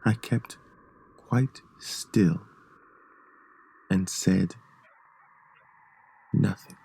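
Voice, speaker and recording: A man playing a sly, gleeful murderous madman in a theatrical, halting gothic horror reading that drops into whispers. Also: on the low side, vocal fry, dark tone, breathy edge, exaggerated voice. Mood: hushed suspense.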